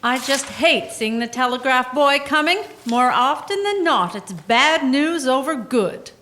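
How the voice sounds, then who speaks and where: A woman's voice: sits high, animated, measured pace, bright, forceful tone, exaggerated voice. A woman playing an anxious small-town townswoman in a radio drama. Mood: worried.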